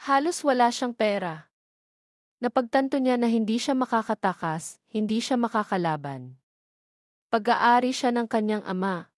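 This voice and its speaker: A woman reading a scripted dramatic story as narrator, in a measured, formal storytelling delivery. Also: fairly high pitch, expressive, no echo, studio quality. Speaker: narrator of audio love stories.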